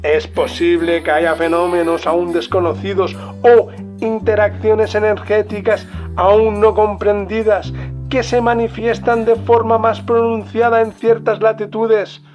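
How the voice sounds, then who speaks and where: A man from narrates a scripted mystery documentary in a solemn, dramatic manner with deliberate pauses. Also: high voice, little pitch movement, darkish tone, soft background music. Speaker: podcast host narrator.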